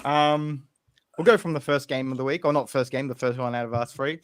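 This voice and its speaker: A man's voice: mid-range voice, steady tone, brisk, smooth, no room sound. Man, football podcast host, rambling, restarts sentences, dry close sound. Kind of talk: casual football chat.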